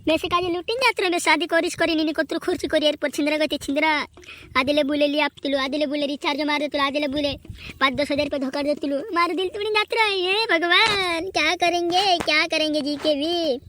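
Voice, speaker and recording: A performer voicing a heartbroken, melodramatic cartoon lover in a squeaky, whining voice. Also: fairly even intonation, a little fast, pushed and bright, slight background noise. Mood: bitter, despairing. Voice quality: squeaky, artificially high voice. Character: heartbroken cartoon lover wailing over betrayal, squeaky and dramatic. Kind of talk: amateur comedy cartoon dub.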